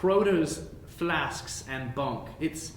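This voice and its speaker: Guy doing a helpful young map boy in a tabletop game, echoey room, some rumble. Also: animated, extremely slow, vocal fry, full character voice. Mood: helpful, frank. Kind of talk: in-character tabletop roleplay. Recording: roomy echo, low rumbling background noise.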